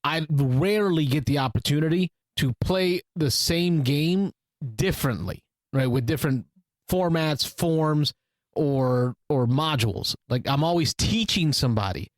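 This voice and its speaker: A man's voice: fairly high pitch, lively intonation, a little slow, dry recording. A man who is not a native English speaker, co-hosting a podcast in a playful, teasing way with short bursts. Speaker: podcast co-host. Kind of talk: casual discussion.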